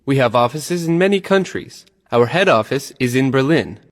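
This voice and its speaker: A man's voice: medium pitch, expressive, moderate pace, in a large hall. Man reading practice dialogue plainly. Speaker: dialogue reader in English lessons.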